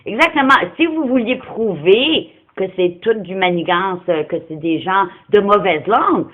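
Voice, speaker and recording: A woman's voice: medium pitch, expressive, normal speed. A non-francophone woman speaking Quebec French, arguing insistently and confrontationally on a phone call, often cutting in. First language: not French, non-francophone. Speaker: caller confronting someone over animal welfare. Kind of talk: argumentative phone conversation.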